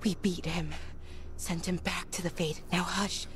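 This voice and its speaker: A woman playing a tender, desperate sister in a fantasy video game, pleading softly. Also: fairly low pitch, fairly even intonation, a little slow, a little hiss or hum, natural voice.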